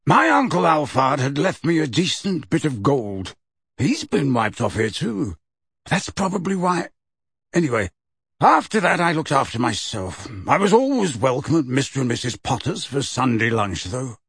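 A man voicing a sardonic, bitter adult man who speaks coldly and curtly, with a slightly husky tone. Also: medium pitch, sing-song, moderate pace, a bit bright, no echo, clean studio sound, pitched above the performer's own voice, heavily acted. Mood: bitter recollection. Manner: cold, curt and irritable. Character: sardonic, cold adult man, estranged from his family. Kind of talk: audiobook character voice.

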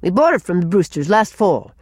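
A woman's voice: on the low side, sing-song, a little fast, darkish tone, no echo, studio quality. A woman voicing a cross, blunt woman householder in an audiobook story. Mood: curt.

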